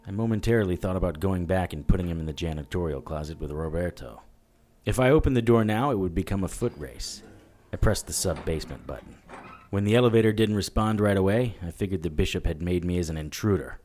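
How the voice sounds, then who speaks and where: Very deep-voiced hard-boiled investigator. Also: male voice, animated, fast, a little mellow, slight background noise. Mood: wary. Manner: dry, matter-of-fact, wry. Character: world-weary supernatural investigator, hard-boiled and wry. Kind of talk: first-person noir audio drama narration.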